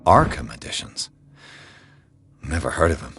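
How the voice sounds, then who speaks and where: An actor playing a brooding male investigator in a video game, thinking aloud in a gravelly voice. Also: a bass voice, very animated, normal speed, a bit bright, heavily acted. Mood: brooding, curious. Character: brooding male investigator examining occult clues.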